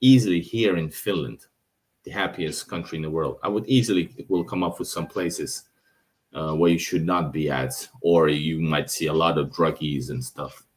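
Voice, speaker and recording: Russian-accented male vlogger, very low voice, loose rambling delivery with frequent restarts. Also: moderate pace. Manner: rambling, with restarts and self-corrections. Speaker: travel vlogger. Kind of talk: casual talk over his own video footage.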